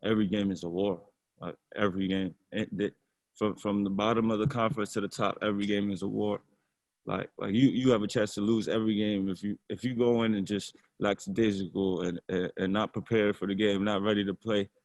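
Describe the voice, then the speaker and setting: Young male athlete, lowish voice, easygoing, restarts his words, dry close sound. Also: a little fast, no room sound. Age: young adult. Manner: relaxed, repeats words while thinking. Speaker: college basketball player. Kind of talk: casual interview answers.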